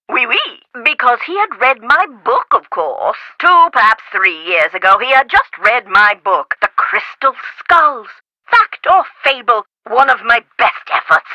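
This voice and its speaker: A woman's voice: on the high side, sharp tone, no room sound, full character voice, poor audio. An actress playing an eccentric, haughty, impatient woman scholar in a video game, theatrical and precise, heard through a telephone.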